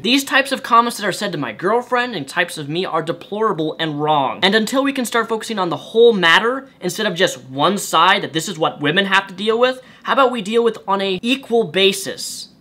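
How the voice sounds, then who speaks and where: A young man with a higher-pitched voice, giving reaction commentary in an indignant, rhetorical way and repeating his points. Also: sharp tone. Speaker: YouTube commentator.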